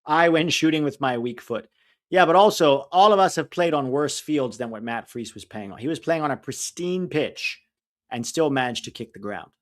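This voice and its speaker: A man's voice: mid-range voice, animated. A man co-hosting a live soccer watch-along, chatting casually and venting blunt opinions, with a mixed, hard-to-place accent. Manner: blunt, opinionated, quick to vent frustration. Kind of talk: casual live-stream match commentary and chat.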